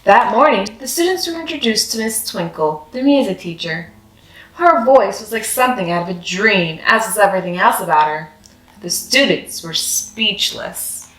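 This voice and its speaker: A woman's voice: mid-range voice, animated, moderate pace, fairly bright tone. Woman reading a picture book, roomy sound. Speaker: children's read-aloud storyteller. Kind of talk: scripted picture-book narration. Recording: roomy echo with faint music.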